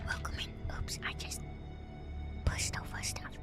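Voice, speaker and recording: A woman whispering softly and breathily into a close mic, giving musing, hesitant ASMR commentary on a livestream. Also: measured pace, over loud music. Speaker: livestreamer host. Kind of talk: whispered ASMR video game lore commentary.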